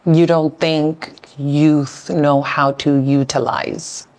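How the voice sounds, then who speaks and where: A woman's voice: low voice, level tone, slow, darkish tone. A woman from South Sudan interviewing a younger guest, speaking thoughtfully and often repeating words as she thinks. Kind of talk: conversational interview.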